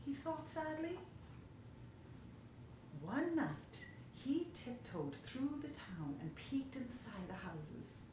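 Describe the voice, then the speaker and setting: Slow, expressive woman storyteller in echoey room. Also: high-pitched. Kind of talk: expressive children's story narration. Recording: very echoey room, faint hiss.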